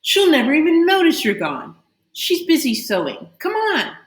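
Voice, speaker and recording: A bossy young storybook boy, played by a woman, calls out in urgent commands. The pitch jumps high and the room around the voice is echoey. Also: huge pitch swings, normal speed. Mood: urgent, coaxing. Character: brisk, bossy young boy helper in a fairy tale.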